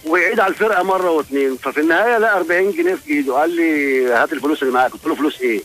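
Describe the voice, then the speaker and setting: A male musician calling into a radio show, telling lively anecdotes. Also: a little high, faint music behind. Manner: lively anecdote teller, quick. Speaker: phone guest, musician. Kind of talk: storytelling on a phone call.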